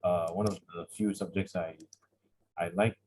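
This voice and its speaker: A teenage male student with a Malaysian accent speaking informally and haltingly on an online class call. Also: low voice, steady tone, a little mellow, slight background noise. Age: teens. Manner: hesitant, halting. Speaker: secondary school student. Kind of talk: informal self-introduction in class.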